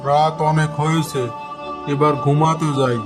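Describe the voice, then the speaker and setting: A man's voice: a little high, little pitch movement, a little slow, music in the background, natural voice. A man playing a tired, sympathetic migrant labourer in a cartoon, with a Bangladeshi accent. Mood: weary. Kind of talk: cartoon dialogue.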